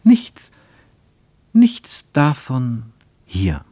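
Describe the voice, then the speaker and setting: A man with pronunciation reading literary prose for radio, measured and deliberate, with emphatic phrasing and pauses. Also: mid-range voice, huge pitch swings, dark tone, no echo. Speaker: narrator, reader of literary prose. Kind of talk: scripted literary narration for German radio.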